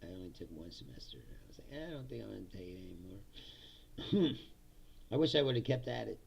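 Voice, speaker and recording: A man's voice: fairly low pitch, very animated, a little fast, gravelly, a little mellow, breathy edge. A middle-aged man from Indiana chatting casually on a livestream, laughing often and slipping into mock voices.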